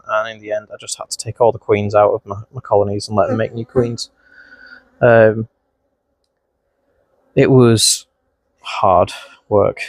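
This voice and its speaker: A man's voice: on the low side, darkish tone, no room sound, rough recording. A man with a mild Scouse-Wigan accent, narrating a beekeeping vlog outdoors at his hives, chatting and scolding the bees as he works. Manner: chatty, talks to his bees, voice jumps up when startled. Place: outdoors at beehives in a bee suit. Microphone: mic clipped close, bees crawling on it.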